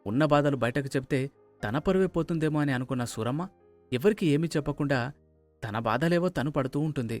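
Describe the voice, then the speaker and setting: A man's voice: on the high side, fast talker, a little mellow, faint music behind. A man narrating a scripted moral story.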